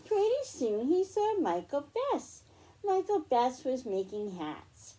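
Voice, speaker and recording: A woman's voice: high-pitched, wildly swooping pitch, slow, dark tone. A woman hosting a children's library storytime, reading aloud and explaining things in simple phrases for young listeners.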